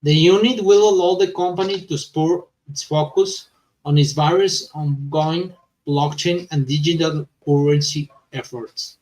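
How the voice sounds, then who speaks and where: Slow, halting Spanish-accented man, distant mic. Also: fairly high pitch, a little mellow. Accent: Spanish-speaking. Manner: halting, stumbling non-native English. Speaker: host of a crypto news vlog. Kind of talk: reading news with informal commentary.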